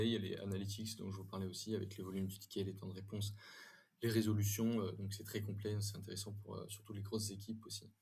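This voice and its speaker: A man with a low, husky voice walks through a software demo. His delivery is informal and halting, with many small hesitations as he explains each step. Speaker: software tutorial presenter. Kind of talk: informal screen-share software demo and review.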